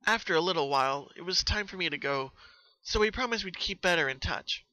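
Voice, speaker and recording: A man acts out a young female party pony in a dramatic story reading. The voice is raised high and very bright, with close dry sound. She is sweet, troubled and earnest, wearing her emotions openly. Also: animated, brisk, dry recording, rough recording. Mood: recalling.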